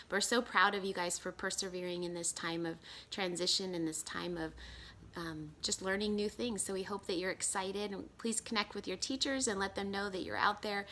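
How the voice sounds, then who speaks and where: A woman reading a children's storybook aloud as a storyteller, expressive and emphatic. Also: medium pitch, slight background noise. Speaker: storyteller and school educator.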